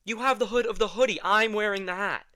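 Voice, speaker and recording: A man's voice: sits high, fast talker, pushed and bright, no room sound. A man playing a weary, sardonic, reluctant young vampire in an intimate audio drama, guarded and dry.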